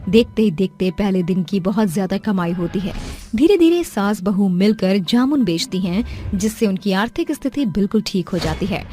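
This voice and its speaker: A woman's voice: medium pitch, darkish tone. A woman reading a scripted moral story as its narrator. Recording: music playing behind the voice. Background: background music.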